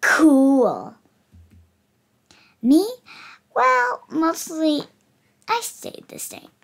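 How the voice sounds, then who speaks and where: Little kid, about 8, reading a storybook out loud, slow and really animated, bit halting. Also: sits high, creaky. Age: child, 8 years old. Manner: slightly halting, dramatic emphasis on words. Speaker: young book reader. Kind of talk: expressive picture-book read-aloud.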